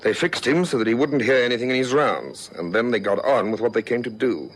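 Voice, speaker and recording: An actor playing a shrewd, methodical senior police detective, authoritative and thoughtful, in a vintage radio drama. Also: male voice, medium pitch, expressive, a little fast, breathy edge. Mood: explaining, assured. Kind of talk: 1960s-70s radio drama acting. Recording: noisy vintage radio recording.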